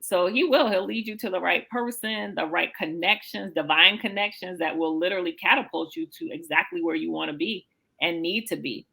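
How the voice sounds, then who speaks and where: Female podcast interviewer, slightly low and gravelly, casual, enthusiastic and encouraging. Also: expressive, a little fast, bright, forceful tone, low-quality recording.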